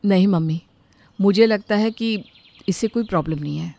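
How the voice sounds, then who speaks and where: A woman voicing a calm, reasonable young daughter-in-law who speaks gently to her mother-in-law. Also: medium pitch, moderate pace, creaky, noisy background, lightly acted.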